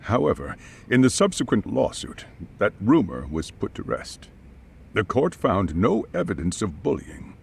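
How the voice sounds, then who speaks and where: A man's voice: fairly low pitch, big pitch swings, unhurried, vocal fry, slight background noise, exaggerated voice. An actor playing a formal, guarded school chairman in a video game dub, hesitant and evasive. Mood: defensive. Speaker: head of a school.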